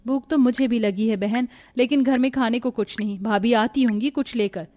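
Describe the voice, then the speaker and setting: Woman as plaintive high-voiced hungry girl. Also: moderate pace, mellow, close to an everyday voice. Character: poor, hungry, anxious young girl.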